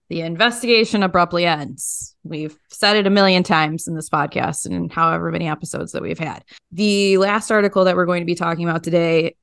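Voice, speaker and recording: A woman's voice: on the low side, clear, resonant tone, no echo, clean studio sound. A woman hosting a true crime podcast, interviewing in a conversational style.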